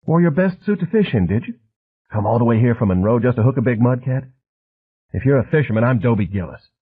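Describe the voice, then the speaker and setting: A man voicing a jittery, menacing middle-aged thug with a soft, almost feminine voice and a hint of a refined Southern accent, jabbering fast on a muffled cassette recording. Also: mid-range voice, sing-song, breathy edge, voice pushed up. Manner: jabbering quickly, as if on speed. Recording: muffled, phone-like old cassette audio.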